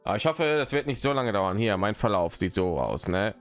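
A man's voice: on the low side, animated, fairly quick, faint music behind. A man, streaming a game and rambling casually, muttering to himself as he plays. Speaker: live streamer. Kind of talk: casual gaming stream commentary.

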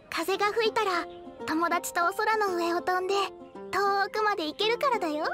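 A woman's voice: very high voice, lively intonation, measured pace. A woman voicing a cheerful, dreamy little flower girl in an anime game, sweet and innocent in manner. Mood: cheerful, proud. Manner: sweet, childlike, giggly. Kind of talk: Japanese anime game voice acting. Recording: music playing behind the voice.

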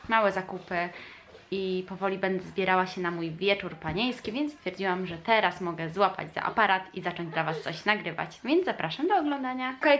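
A woman's voice: medium pitch, a bit bright. A woman in her twenties from Poland, chatting casually to camera as she gets ready at home. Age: 20s. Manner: chatty, talks to her small child in a sing-song voice. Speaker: vlogger. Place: bedroom at home. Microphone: handheld camera mic. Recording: noisy camera-mic recording.

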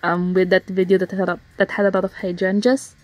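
A slightly hoarse woman casually narrating her digital painting process, rambling with fillers and self-corrections. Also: fairly low pitch, level tone, brisk, no room sound. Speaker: digital artist narrating a speedpaint. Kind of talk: casual voiceover commentary. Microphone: home voiceover mic.

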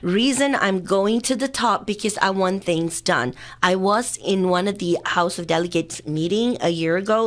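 A woman in her fifties who grew up in Singapore, talking at length in an upbeat way as a guest in a radio studio interview, on a studio mic. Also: lowish voice. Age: 50s. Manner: talkative, upbeat, fast-running answers with fillers. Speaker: political candidate, interview guest. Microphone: studio mic with headphones.

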